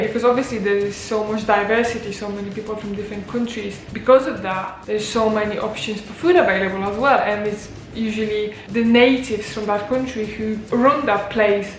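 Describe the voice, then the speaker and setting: A woman's voice: medium pitch, slight background noise. A young woman from Poland, whose first language is Polish, chatting casually to camera in a vlog and filling her talk with likes and you-knows. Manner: chatty, uses many fillers like "like" and "you know". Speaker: vlogger. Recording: echoey room with faint music.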